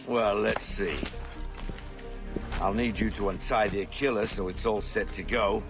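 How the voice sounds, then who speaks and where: Gravelly, cantankerous old sea captain. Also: male voice, on the low side, steady tone, unhurried, music in the background. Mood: grudging. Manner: blunt, cantankerous. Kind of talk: dramatic video game acting.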